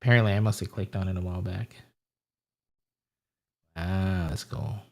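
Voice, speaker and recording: A man with a somewhat low voice hosts a relaxed live stream. He chats loosely with viewers and thinks out loud as he tries out stream settings, in a rambling, easygoing way. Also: moderate pace, vocal fry. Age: adult with a wife and family. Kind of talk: casual live-stream chat.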